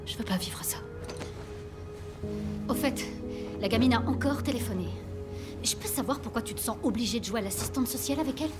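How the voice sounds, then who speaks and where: Woman acting as anxious cop's partner, higher voice, reproachful, earnest, audio crime drama. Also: fairly quick, pauses often, over loud music, lightly acted. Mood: worried. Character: worried, reproachful partner of a troubled police officer.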